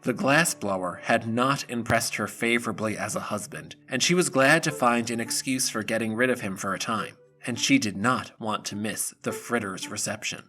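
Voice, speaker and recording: A man narrating a scripted fairy tale for an audio drama in a theatrical, measured storytelling manner. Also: lowish voice, bright, forceful tone, soft background music.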